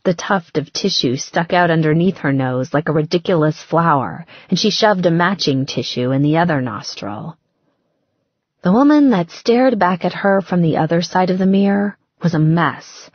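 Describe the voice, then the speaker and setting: A woman with a fairly low, husky voice reads scripted fiction. Her storytelling is expressive and measured, and the recording sounds slightly muffled and roomy. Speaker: audiobook narrator. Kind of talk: scripted romance fiction narration.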